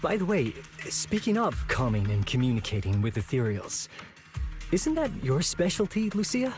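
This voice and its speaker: A man's voice: a little high, huge pitch swings, moderate pace. A man voicing an earnest, trusting outcast watcher in a video game, speaking with a wondering, slightly hesitant manner. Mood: puzzled, recounting. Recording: music behind the voice. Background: game music.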